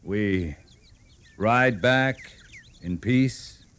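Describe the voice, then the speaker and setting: An actor playing a steady, firm cavalry captain in a 1950s radio Western, speaking in a grave, measured way on a vintage recording. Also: male voice, on the low side. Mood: quiet relief.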